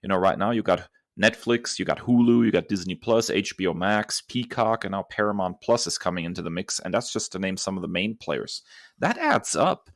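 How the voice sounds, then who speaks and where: Man, low-pitched voice, dry close sound, podcast co-host, casual emphatic opinionated delivery. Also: animated, a little fast, no room sound.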